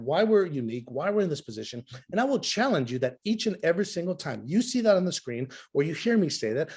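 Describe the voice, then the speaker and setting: Hoarse man giving a pushy sales pitch. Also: a little mellow. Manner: emphatic, repetitive sales delivery. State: hoarse from talking nonstop. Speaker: presenter. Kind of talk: sales pitch over a video call. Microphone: video call.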